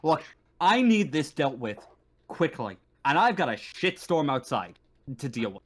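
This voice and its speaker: A man playing a stern space-station marshal in a tabletop sci-fi game. He is gruff, harried and impatient, cursing freely as he stumbles through clipped, exasperated replies. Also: fairly high pitch, sing-song, measured pace, pitched above the performer's own voice. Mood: exasperated, defensive. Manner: sweary, exasperated, stumbling over words.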